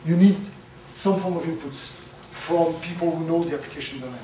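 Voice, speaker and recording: Loud guy giving an academic talk, lots of uh and um, fairly high voice, very echoey room, mic far off. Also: slight background noise. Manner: loud, halting with many fillers. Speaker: conference speaker. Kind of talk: academic presentation. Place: lecture room.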